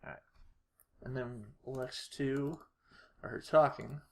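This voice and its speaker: Someone giving an informal editing walkthrough, talking haltingly and muttering to themselves while working. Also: mid-range voice, steady tone, vocal fry, darkish tone. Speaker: tutorial creator. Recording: noisy home recording, keyboard and clicks. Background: mouse clicks and keyboard typing.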